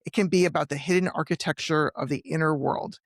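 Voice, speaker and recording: A man's voice: on the high side, fairly even intonation, fast talker, no room sound. Man hosting a podcast, dry close sound. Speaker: podcast host. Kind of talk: scripted guest introduction then casual interview questions.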